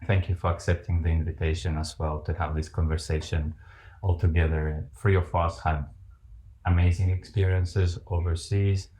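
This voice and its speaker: Man, very low pitch, podcast host, friendly open questions. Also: level tone, normal speed, dark tone, low-quality recording. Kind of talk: hosting an interview.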